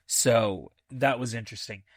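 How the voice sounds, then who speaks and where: A man hosting a podcast, casually reviewing a film in a halting, thinking-aloud way with enthusiastic, repeated emphasis. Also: mid-range voice, no echo. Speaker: movie review podcast host.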